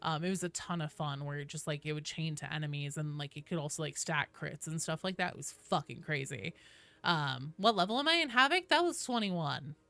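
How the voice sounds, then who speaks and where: A woman's voice: low-pitched, expressive, a little fast, vocal fry, pushed and bright, dry recording. A woman hosting a casual game livestream, chatting informally and explaining things at length as she talks directly to viewers. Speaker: video game streamer, host.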